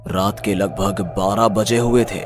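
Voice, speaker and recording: A man's voice: fairly low pitch, steady tone, a little slow, faint music behind. A man narrating a scripted horror story as its storyteller.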